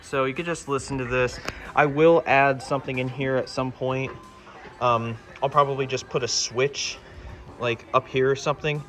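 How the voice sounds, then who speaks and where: A man's voice: medium pitch, fairly even intonation, normal speed, fairly bright tone. Casual man demonstrating a project over music. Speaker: DIY electronics hobbyist presenting a project. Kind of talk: casual hands-on project walkthrough.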